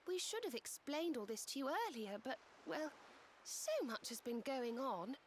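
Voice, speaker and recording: A young female adventurer in a video game. Her voice is high, and she speaks in a gentle, polite way. She comes across as earnest and soft-hearted. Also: animated, moderate pace, a little hiss or hum. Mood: apologetic.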